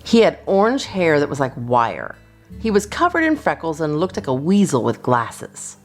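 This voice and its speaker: A woman reading a children's storybook in an expressive, deliberate narrating style. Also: sits low, soft background music. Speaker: storyteller, children's channel host.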